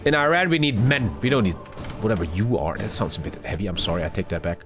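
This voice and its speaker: A man's voice: lowish voice, quick pace. A young man streaming a strategy game live, ranting and swearing at his chat. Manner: loud, swearing, jumpy rants to chat. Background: game music.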